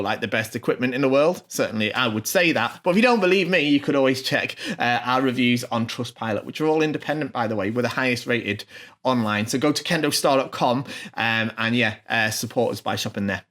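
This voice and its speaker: Chatty man with halting delivery. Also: mid-range voice, fairly bright tone. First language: not Japanese. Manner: hesitant, many ums and uhs, restarts. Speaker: channel host translating a video message. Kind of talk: informal off-the-cuff video commentary and live translation.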